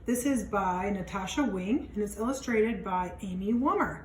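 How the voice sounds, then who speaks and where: A woman teacher reading a children's storybook aloud, pausing to explain things simply to young listeners. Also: medium pitch, a little mellow. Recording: roomy, distant mic, faint background noise.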